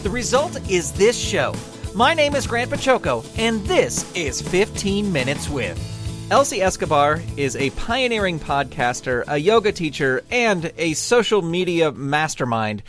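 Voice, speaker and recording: Male podcast host, animated higher voice, halting questions full of fillers; dry sound, faint music bed. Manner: scripted intro, then hesitant with many fillers. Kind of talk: interview host.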